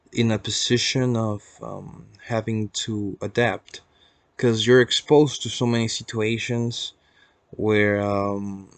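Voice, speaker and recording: A man's voice: fairly low pitch, no room sound. A young man with a Peruvian accent talking casually to viewers in a reflective, hesitant, rambling, unscripted vlog, swearing casually as he goes. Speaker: vlogger.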